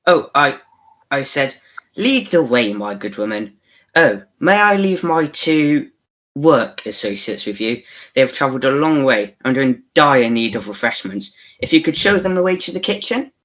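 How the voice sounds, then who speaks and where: An actor plays a male melodrama scoundrel with a mid-pitched voice. He is pompous, impatient and bossy, scolding his underlings and scheming grandly in a radio play. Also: lively intonation, normal speed, a bit bright, lightly acted. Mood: haughty, impatient.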